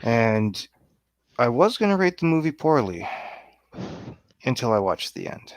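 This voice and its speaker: A man's voice: mid-range voice, sing-song, measured pace, no room sound, clean studio sound. A man giving his opinions casually as a guest on a film review.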